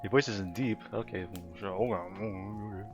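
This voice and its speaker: A man's voice: fairly low pitch, fairly even intonation, slow. A young man streaming live, reading chat aloud and rambling casually with lots of fillers and frequent laughter. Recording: slightly dull lo-fi stream audio with faint music. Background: faint background music.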